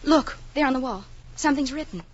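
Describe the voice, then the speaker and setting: An actress playing a proud, earnest, romantic young wife in a 1940s radio drama. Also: female voice, high-pitched, lively intonation, fairly quick, slight background noise. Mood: alarmed disbelief. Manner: earnest, firm. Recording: muffled old radio broadcast.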